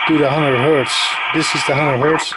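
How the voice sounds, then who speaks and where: A man giving a casual, unscripted hands-on equipment demonstration, halting and matter-of-fact as he goes. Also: a little high, fairly even intonation. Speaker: radio hobbyist demonstrating equipment. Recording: faint background noise.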